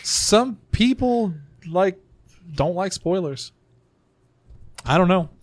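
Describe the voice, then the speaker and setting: A man's voice: sits high, animated, takes it slowly. A man hosting a casual group podcast, rambling and joking while he is losing his voice. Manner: rambling, self-deprecating, joking. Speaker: podcast host. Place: small room around a table.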